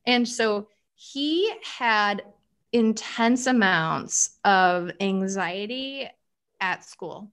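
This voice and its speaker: A woman therapist guest in an interview, explaining coping methods in a lively, animated way. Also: medium pitch, extremely slow, clear, resonant tone. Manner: animated, explanatory, acts out quoted thoughts. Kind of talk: interview conversation.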